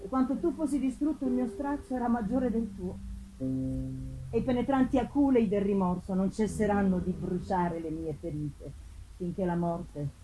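A woman voicing a remorseful, anguished male monster resigned to death, in a grave, deliberate, solemn dramatic reading. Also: mid-range voice, lightly acted, rough recording. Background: live plucked guitar music.

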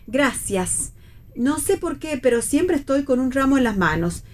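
A woman's voice: high-pitched, sing-song, a little slow, darkish tone. An Argentine woman playing an anxious, conciliatory middle-aged mother who rambles politely in a theatrical radio play reading. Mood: rambling, earnest.

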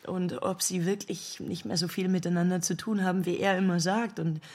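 Woman acting a husky, rattled, defensive actress. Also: fairly low pitch, steady tone, normal speed, a little mellow, lightly acted. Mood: cornered, flustered. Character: rattled, defensive actress hiding a secret affair. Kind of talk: naturalistic radio drama dialogue.

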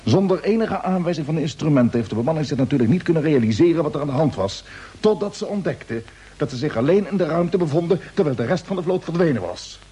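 An actor playing a grave, commanding spaceship captain in an old-style radio play. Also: male voice, fairly high pitch, expressive, quick pace, warm tone, breathy edge. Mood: pondering, grave. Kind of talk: Dutch radio play acting, mid-century. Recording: noisy old radio drama recording.

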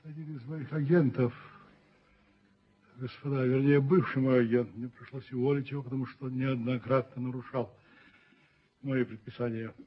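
Man acting a husky, commanding gentleman landlord. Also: mid-range voice, steady tone, normal speed, natural voice. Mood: smooth, genial. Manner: formal, courteous, commanding. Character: suave, authoritative, dignified gentleman landlord and father. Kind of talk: radio play acting. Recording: muffled 1970s radio play recording.

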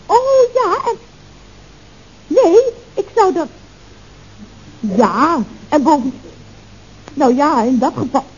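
A naive, matter-of-fact secretary in a vintage radio comedy. Her high voice swoops up and down as she answers in a hesitant, literal way. The old recording sounds dull. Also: big pitch swings, takes it slowly, long pauses, exaggerated voice. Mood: flustered. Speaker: actress in a radio comedy serial. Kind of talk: 1970s Dutch radio comedy acting.

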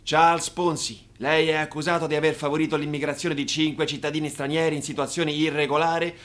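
A man in a radio play voicing a stern, formal, deliberate courtroom judge. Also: a little high, level tone, a bit bright, close to an everyday voice. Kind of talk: radio theatre acting.

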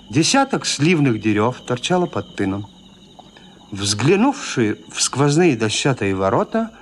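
A man narrating a classic literary tale for an audio drama in a measured, expressive storytelling manner. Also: fairly high pitch, a little hiss or hum.

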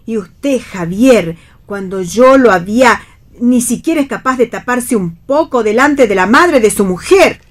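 A high-voiced Argentine mother in a radio play. She is scandalized and easily flustered, and she speaks in indignant, reproachful questions and exclamations. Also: lively intonation, measured pace.

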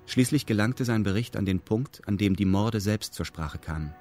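An actor playing a detached narrator who recounts events in meticulous, matter-of-fact detail in a radio drama. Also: male voice, lowish voice, lively intonation, normal speed, darkish tone, faint music behind, lightly acted.

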